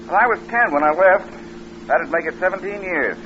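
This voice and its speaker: Bright-voiced friendly young man. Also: high-pitched, animated, a little fast. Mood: frank, open. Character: friendly, trusting 27-year-old male motorist. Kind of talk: 1950s radio drama acting. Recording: muffled, noisy old radio recording.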